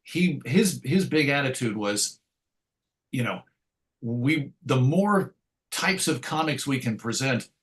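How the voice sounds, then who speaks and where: A man's voice: medium pitch, lively intonation, slow. Chatty middle-aged man reminiscing. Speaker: interview guest, comics writer and editor. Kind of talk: casual interview, reminiscing anecdotes.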